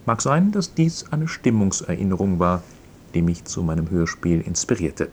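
A man's voice: deep voice, very animated, normal speed, a little hiss or hum. A man reading a scripted introduction to a radio play. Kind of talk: scripted introduction, read narration.

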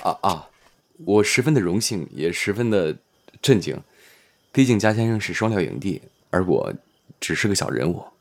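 A man's voice: deep voice, animated, normal speed, gravelly, exaggerated voice. A man playing a modest, considerate male minor actor in an audio drama, speaking politely and mildly, a little hesitant.

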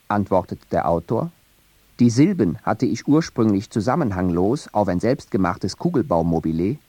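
A man reading a scripted radio feature narration in a measured, academic way. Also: on the low side, mellow, no room sound.

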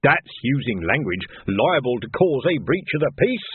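A phone-like, narrow recording holds a man acting out a stolid police sergeant with a husky voice. He plays dim on purpose, deadpan and mock-polite, an unshakable copper from an audiobook. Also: mid-range voice, normal speed. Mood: feigned innocence. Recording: narrow band, phone-like sound.

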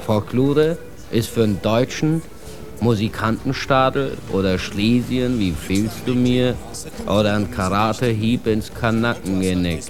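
A man's voice: fairly low pitch, unhurried, music in the background, natural voice. An actor playing a proud immigrant man ranting with bitter contempt, in a declamatory slang tirade for a radio drama. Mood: bitter, scornful.

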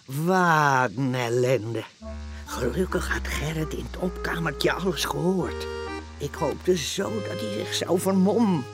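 A woman's voice: deep voice, slow, music in the background. A woman acting as the storyteller of a radio comedy, narrating events as she recalls them. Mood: confiding. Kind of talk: scripted narration.

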